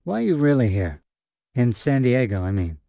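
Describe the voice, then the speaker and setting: A man's voice: medium pitch, big pitch swings, normal speed, vocal fry, dry recording, pitched above the performer's own voice. Frank wary young woman read by a man, dry close sound, middling voice. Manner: frank, gently reproachful. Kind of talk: audiobook character reading.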